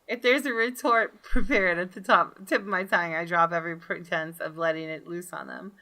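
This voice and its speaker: A woman reading a visual novel aloud as expressive, dramatic storytelling for a gaming video. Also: on the low side, a little fast. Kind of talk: expressive scripted narration with brief asides. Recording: game music behind the voice. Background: soft game music.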